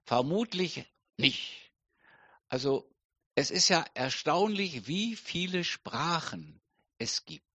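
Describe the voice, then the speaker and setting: A middle-aged man preaching a sermon slowly and deliberately, with emphatic pauses. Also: fairly high pitch, sing-song, a bit bright, dry recording. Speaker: pastor.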